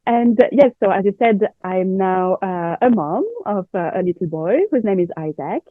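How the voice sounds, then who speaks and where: A woman's voice: medium pitch, normal speed, no echo, narrow, tinny audio. Interview guest: French woman, native French speaker, slight cold, dry but band-limited radio-like sound. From France. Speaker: guest expert, baby planner. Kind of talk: conversational interview.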